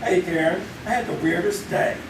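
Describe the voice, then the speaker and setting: A man's voice: sits high, brisk, heavy background noise. Wry middle-aged dad , a man plays him, echoey stage, far mic. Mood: puzzled. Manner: dry, wry. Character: middle-aged father, dry businessman. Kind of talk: live old-time radio acting. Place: live theater stage.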